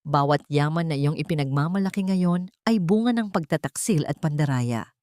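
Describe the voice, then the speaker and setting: A woman's voice: deep voice, animated, normal speed, a little mellow, no echo. A woman narrator plays a stern man of authority in an audio drama. The delivery is commanding and condemning, each phrase weighed like a verdict. The recording is close and very dry. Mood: stern accusation.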